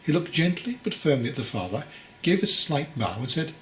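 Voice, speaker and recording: A man reading a story aloud as its author, in measured phrases with deliberate pauses. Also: fairly high pitch, big pitch swings, fairly bright tone, slight background noise, low-quality recording. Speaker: author reading his own book aloud. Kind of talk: scripted story narration.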